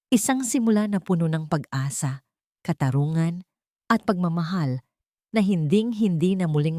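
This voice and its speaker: Low voiced woman reading a dramatic story, earnest n sentimental, kinda formal, super dry sound. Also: a little mellow, no room sound. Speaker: storyteller and channel host. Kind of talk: scripted dramatic story narration.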